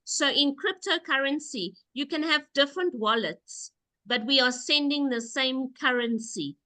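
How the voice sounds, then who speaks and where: This woman has a high, bright voice and leads a group call. She explains patiently and leans on emphatic repetition to drive her points home. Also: unhurried. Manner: emphatic, repeats key points. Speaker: call host. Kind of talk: call presentation, explaining step by step.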